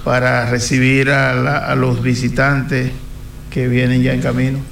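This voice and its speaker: A gravelly-voiced male radio host keeps it casual and brief, in a mid-range pitch. The room is echoey, with clear background noise behind him. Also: completely flat delivery, a little slow. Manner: brief, affirming. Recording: echoey noisy studio.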